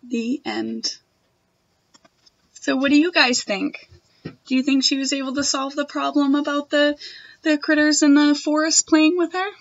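A young woman reading a picture book aloud to children in a gentle, expressive manner. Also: sits high, a little slow, pauses often, no room sound, lo-fi sound. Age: young adult. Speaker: storytime reader. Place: wood-panelled room at home.

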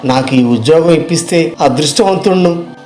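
A man's voice: on the high side, moderate pace, mellow, soft background music, natural voice. A man playing a polite, ambitious job seeker in a moral story, earnest and deferential, recorded with an echoing sound. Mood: eager.

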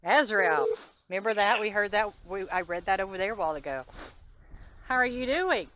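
Middle-aged female paranormal vlogger, casual delivery, mid pitch, noisy outdoor handheld recording. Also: animated, fairly quick, a bit bright. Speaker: paranormal investigator and vlog host. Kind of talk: casual vlog talk, questions put to spirits. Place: outdoors in overgrown woods. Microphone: handheld camera at arm's length. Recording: noisy outdoor handheld camera audio, clicks.

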